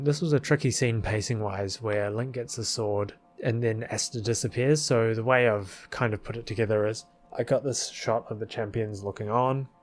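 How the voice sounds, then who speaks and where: A man giving rambling, casual commentary as a video creator, recorded as a close voice-over with music behind. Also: on the low side. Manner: rambling, chuckling asides. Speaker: video creator, film editor.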